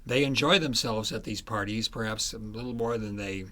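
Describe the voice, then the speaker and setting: A man's voice: on the low side, moderate pace, bright, forceful tone. A man being interviewed as an author, telling history in a conversational, explanatory and emphatic way.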